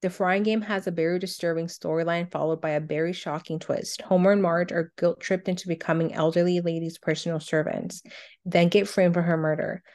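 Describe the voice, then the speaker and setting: A woman's voice: fairly low pitch, fairly even intonation, a little fast, a little mellow. A woman co-hosting a casual countdown show, reading out entries and reminiscing in a conversational way. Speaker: co-host of a cartoon countdown show.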